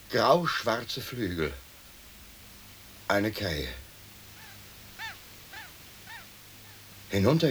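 An actor playing a courteous, pensive gentleman in a radio play, speaking formally and deliberately on a hissy old recording. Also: fairly low pitch. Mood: hushed wonder. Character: courteous, pensive gentleman arguing with His Lordship. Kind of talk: stereo radio play acting.

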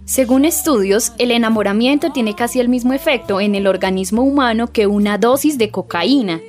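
A woman with a Colombian accent reading scripted narration as a radio presenter. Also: fairly high pitch.

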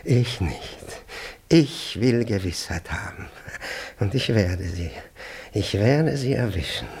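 An actor playing a nervous, jealous, self-important Russian official in a German radio play, stammering and repeating himself. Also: male voice, fairly low pitch, expressive, slow pace, darkish tone, breathy edge, heavily acted. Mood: agitated, obsessed. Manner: stammering, repeats words, breaks off.

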